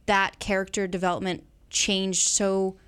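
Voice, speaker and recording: A woman's voice: medium pitch, flat delivery, a little slow, fairly bright tone, clean tone. Woman in casual, conversational film chat. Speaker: podcast guest co-host discussing a film.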